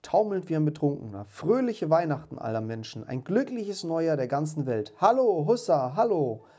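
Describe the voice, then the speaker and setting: A man's voice: medium pitch, normal speed, a little mellow, close to an everyday voice. A man voicing a reformed old miser from a storybook, exclaiming with great emotion and emphasis. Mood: giddy joy. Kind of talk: dramatised storybook reading.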